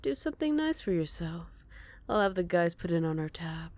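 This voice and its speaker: Woman performing a female villain, flirty, smug, coy, teasing, slightly low pitch, breathy murmurs, ASMR roleplay. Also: big pitch swings, moderate pace, a little mellow, dry recording.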